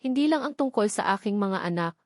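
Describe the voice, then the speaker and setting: A woman's voice: medium pitch, expressive, a little fast, dry recording, clean studio sound. A woman with a Filipino accent reading a dramatic first-person story as a narrator.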